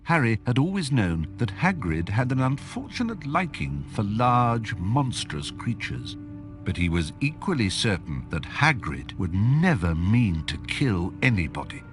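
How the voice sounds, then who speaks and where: A man's voice: fairly low pitch, very animated, a little slow, over music. A man narrating a video game like a storybook. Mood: storytelling.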